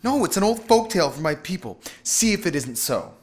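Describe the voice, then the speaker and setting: A young storybook wife played by a man, scornful and exasperated. The voice sits high and swoops about as she nags, ready to shout over any din. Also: big pitch swings, a little fast.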